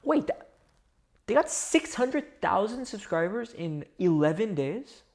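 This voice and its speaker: A man's voice: sits high, big pitch swings, measured pace, a little mellow. A man from India chatting casually on a livestream, rambling and talking to his viewers. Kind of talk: casual live-stream chat.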